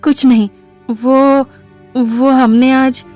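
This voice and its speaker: A woman's voice: high-pitched, level tone, very slow, darkish tone, faint music behind. An actress playing a timid, coy young woman in an audio drama, hesitant and deferential as she placates. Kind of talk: Hindi audio drama acting.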